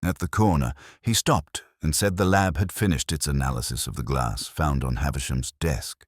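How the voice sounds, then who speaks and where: A man playing a weary, erudite police inspector, narrating his case in a measured, melancholy, sardonic way as a literary audio drama. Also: extremely low pitch, slightly rough, dry recording, studio quality. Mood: brooding.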